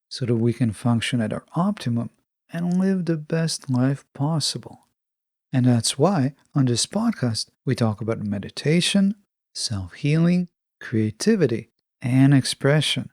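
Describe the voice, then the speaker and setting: A man hosting a self-help podcast, delivering a scripted monologue in a measured, instructive manner. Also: medium pitch, vocal fry, dark tone, no echo, clean studio sound. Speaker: podcast host.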